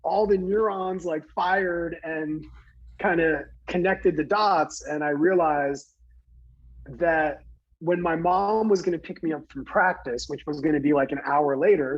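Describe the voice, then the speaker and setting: A man from New Jersey earnestly recounting a personal story in conversation, halting, with many fillers and restarts. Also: fairly high pitch, breathy edge, muffled recording. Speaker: guest speaker.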